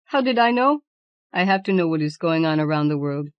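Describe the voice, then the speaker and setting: A woman's voice: low-pitched, expressive, brisk, clean tone, no room sound, highs cut off. A woman voicing Death as a male skeleton in a storybook, garrulous, boastful and formal in his storytelling. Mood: knowing.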